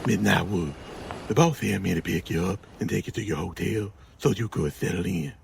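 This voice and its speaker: An actor playing a polite, nervous gangland underling with a husky, gravelly voice in an audio drama. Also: male voice, sits low, normal speed, slight background noise. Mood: hesitant, polite. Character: deferential, nervous gangland underling and driver, loyal to his boss.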